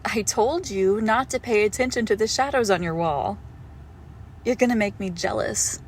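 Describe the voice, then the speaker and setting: A woman plays a tender, possessive girlfriend hiding something monstrous. She speaks very slowly and softly, with plenty of fry in the voice, often sinking toward a whisper. It is an intimate roleplay audio drama with faint noise behind it. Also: on the high side. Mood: quietly possessive. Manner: slow, hushed, tender, often dropping to a whisper.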